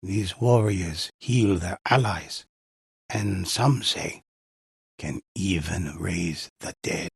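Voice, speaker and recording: A grave, mysterious older storyteller narrates game legends of warriors and spirits. His voice is low and very raspy, and he speaks slowly, weighing every phrase with solemn reverence. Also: no room sound, studio quality, full character voice. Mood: grave, urgent. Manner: solemn, measured, portentous delivery. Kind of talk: dramatic scripted storytelling narration for a video game.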